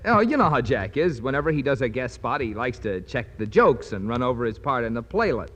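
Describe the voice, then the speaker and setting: An actor playing an eager, corny stooge and announcer in 1940s radio comedy, exaggerated and wisecracking. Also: male voice, medium pitch, sing-song, brisk, lo-fi sound. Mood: eager, jokey. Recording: noisy, echoing 1940s radio hall transcription.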